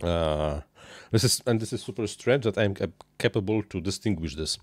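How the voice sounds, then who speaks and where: A man in his thirties with a nasal voice, talking in a halting, improvised way while building at a home workbench into a close dynamic mic. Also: low-pitched, darkish tone, no room sound. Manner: halting, many uhs and restarts, non-native phrasing. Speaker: RC hobby streamer building a model plane. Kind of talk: improvised live-stream chat while building. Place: small home workshop desk, indoors. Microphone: dynamic microphone on boom arm, headphones.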